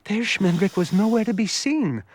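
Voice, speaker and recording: An actor playing a naive, philosophical village simpleton telling a cartoon folk tale, in folksy, musing asides. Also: male voice, sits high, animated, normal speed, no echo. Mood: musing, confiding. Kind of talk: comic folk-tale narration for animated short. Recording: music behind the voice. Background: music.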